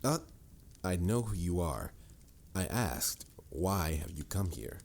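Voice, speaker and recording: A man's voice: low-pitched, lively intonation, slow, a little mellow, slight background noise, exaggerated voice. A man playing a stern, formal mage mentor with a dry, lecturing manner in a scripted fantasy audio roleplay. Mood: grave. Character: stern, dryly exasperated adult male mage mentor.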